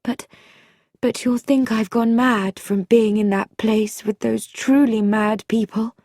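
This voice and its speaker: A woman's voice: fairly high pitch, steady tone, takes it slowly, darkish tone, dry recording, clean studio sound, pitched above the performer's own voice. A woman voicing a frightened, desperate young wife in a mystery story, hesitant and pleading.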